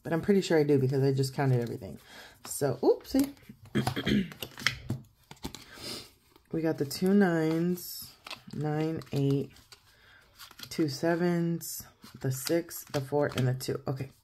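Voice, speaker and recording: A woman in her thirties with a croaky morning voice, chatting casually at a home desk and counting money aloud as she fills in savings challenges. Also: low voice, slow, pauses often, a little hiss or hum. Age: 30s. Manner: chatty, counts out loud, laughs easily. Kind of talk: casual chat while counting cash.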